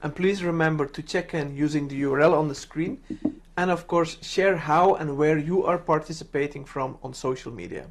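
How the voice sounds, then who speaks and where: A man's voice: on the high side, moderate pace, a little hiss or hum. A man reading scripted announcements as host of an online event, in a polite, formal way.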